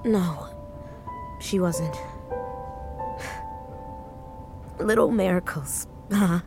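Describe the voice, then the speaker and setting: A woman's voice: lowish voice, very slow, music in the background. A woman playing a grieving, hesitant, sentimental woman in her thirties in naturalistic audio drama dialogue. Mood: sad, wistful. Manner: hesitant, subdued.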